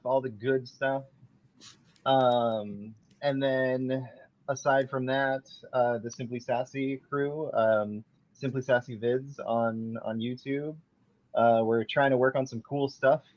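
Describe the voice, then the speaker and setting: A man's voice: mid-range voice, slow pace. Brief: male guest, mid-range voice, easygoing, muffled lo-fi audio. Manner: friendly, relaxed, hesitant with fillers. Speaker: podcast guest, voice actor and artist. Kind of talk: casual podcast chat.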